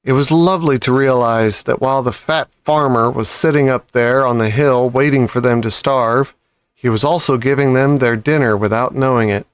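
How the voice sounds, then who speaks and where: A man's voice: medium pitch, normal speed, smooth, dry recording. A man narrates a children's storybook. His voice sits in the middle range for a man, and the recording is dry, with hardly any room sound. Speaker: audiobook reader.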